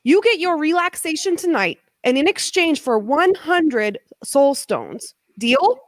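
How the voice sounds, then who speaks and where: Radio drama storm villainess, haughty, animated, dry close sound; woman performer. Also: high voice, unhurried, no room sound. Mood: calculating. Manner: theatrical, scornful. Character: haughty female storm supervillain striking bargains. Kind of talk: audio drama acting. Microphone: video call.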